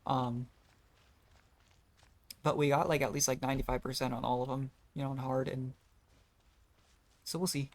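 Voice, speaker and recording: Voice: mid-range voice, level tone, normal speed, long pauses. A game streamer rambling casually through gameplay commentary, thinking aloud in a self-deprecating way. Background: video game music.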